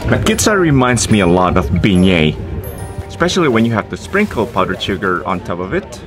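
A man's voice: fairly low pitch, big pitch swings, brisk, over music. A young man hosting a casual travel vlog outdoors, talking enthusiastically about what he sees. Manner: enthusiastic, marvelling. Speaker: travel vlogger host. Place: outdoors at a mountain monastery.

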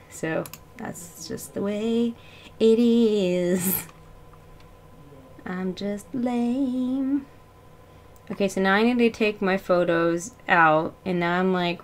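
A woman's voice: fairly low pitch, fairly bright tone. A woman in her thirties with a faint Arkansas accent rambles through a casual livestream, poking fun at herself as she shuffles things around her bedroom. She drifts on and off a webcam mic, and a faint hiss sits under her voice. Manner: rambling, self-mocking, talks while moving things around. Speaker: livestreaming doll collector. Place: small bedroom full of dolls. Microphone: webcam mic, often off-mic moving around.

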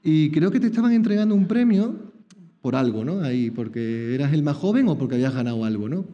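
A man's voice: a little high, lively intonation, quick pace, warm tone. A middle-aged man hosting a live chess talk in a small lecture hall, speaking into a table mic, chatty, with many tag questions and repeated words. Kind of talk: live public interview. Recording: dull reverberant hall sound.

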